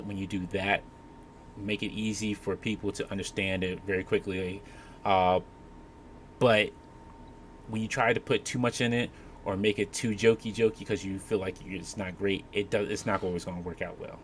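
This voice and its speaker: Low-voiced man, halting panel talk. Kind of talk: casual opinion talk. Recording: faint background noise.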